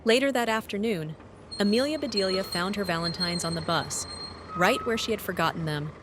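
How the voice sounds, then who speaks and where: A woman narrating a children's story as a teacher would, expressive and clearly phrased for young listeners. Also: mid-range voice, a little fast. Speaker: read-aloud teacher and storyteller. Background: sound effects and noise.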